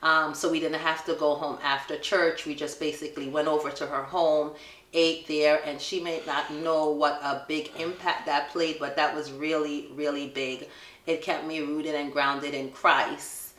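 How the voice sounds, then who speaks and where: A middle-aged woman with a Jamaican accent, telling her son stories in a relaxed family question-and-answer chat and laughing often. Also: low-pitched, measured pace, echoey room. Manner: warm storytelling, laughs often.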